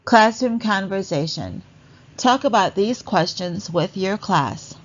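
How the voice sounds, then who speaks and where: A woman narrating a read-along lesson for children in a deliberate, instructional way. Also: mid-range voice, animated, dull audio.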